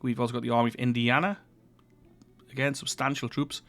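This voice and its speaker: A man commenting casually on a strategy game he is playing, sounding congested and croaky from a cold as he thinks aloud over the map. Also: mid-range voice, fairly quick. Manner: relaxed, thinking aloud with fillers while reviewing the map. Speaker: gaming channel host.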